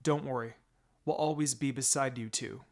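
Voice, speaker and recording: A man's voice: mid-range voice, moderate pace, gravelly, darkish tone, close to an everyday voice. A man voicing a loving, protective mother in a dramatic story reading, tender and instructing, over music. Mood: weak, tender. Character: loving, protective young mother of a small girl. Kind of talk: dramatic fan fiction reading. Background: background music.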